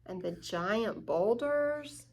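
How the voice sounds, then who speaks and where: A woman's voice: mid-range voice, animated, very slow, creaky, slight background noise. A woman hosting children's story time, reading a picture book with dramatic emphasis and questions for young listeners.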